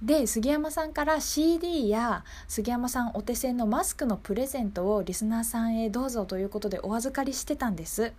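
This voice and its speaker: A woman's voice: a little high, brisk, few pauses, darkish tone. A woman hosting a local radio show, speaking politely and conversationally as she addresses her listeners. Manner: polite, conversational, often seeking agreement from listeners. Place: radio studio.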